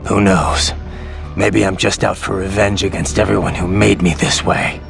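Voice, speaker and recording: An actor playing a grim, weary, duty-bound cyborg soldier in a video game, with a gravelly voice and brooding, terse manner. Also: male voice, low voice, little pitch movement, normal speed, soft background music.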